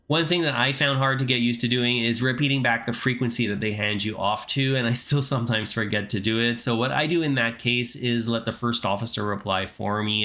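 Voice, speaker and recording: A man's voice: medium pitch, bright, forceful tone. A man narrating an explanatory tutorial as an instructor, explaining things step by step. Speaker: flight simulator instructor.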